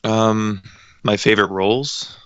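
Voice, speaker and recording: Deep-voiced young man, hesitant and modest. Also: fairly even intonation, measured pace, fairly bright tone, clean tone, no room sound, lo-fi sound. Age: late teens. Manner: hesitant, modest, many ums. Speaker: guest voice actor and director. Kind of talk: casual interview chat.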